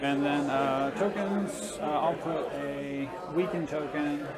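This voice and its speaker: A man's voice: on the high side, steady tone, takes it slowly. A man chatting casually while playing a tabletop wargame. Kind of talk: casual game talk. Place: game store during a tournament. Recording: loud game store crowd behind.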